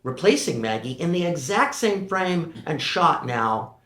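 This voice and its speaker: A man narrating screenplay directions at a table read, measured and matter-of-fact. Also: a little high, sing-song, bright, forceful tone. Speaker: narrator reading stage directions. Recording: roomy echo.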